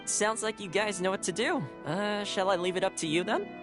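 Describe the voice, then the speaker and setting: A man's voice: sits high, fairly quick, over music, lightly acted. An actor playing a friendly, diplomatic young man in service, explaining things politely and conversationally in a video game. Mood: friendly, reassuring. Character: friendly, diplomatic young male retainer, easygoing, reassuring. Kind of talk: video game dialogue acting.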